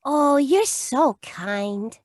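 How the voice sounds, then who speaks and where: Old-time radio secretary: sweet, wide-eyed, adoring, swooping melodic lines, dry sound. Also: female voice, high-pitched, lively intonation, drawn-out words, no room sound, full character voice. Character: Effie, sweet, naive, adoring young female detective's secretary. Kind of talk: 1940s radio comedy-drama acting.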